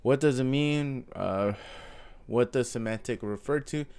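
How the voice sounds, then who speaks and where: A man's voice: mid-range voice, a little slow, a little mellow, clean tone. A man with a stuffy nose from a cold, casually reading through a coding course aloud with frequent ums and self-corrections. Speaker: self-taught coding vlogger. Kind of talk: casual follow-along reading and thinking aloud.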